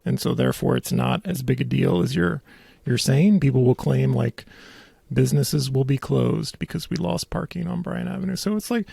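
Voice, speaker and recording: Male podcast host, husky and dry-recorded, giving casual, wry commentary. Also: mid-range voice, animated, normal speed, mellow, dry recording.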